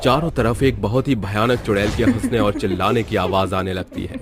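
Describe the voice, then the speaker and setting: A man's voice: on the low side, steady tone, normal speed. A man narrating a scripted horror story in a dramatic storytelling manner.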